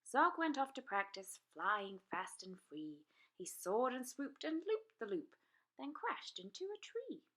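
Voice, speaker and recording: A woman reads a rhyming children's story aloud. Her voice is fairly high and very animated, with an unhurried, expressive, rhythmic delivery suited to preschoolers.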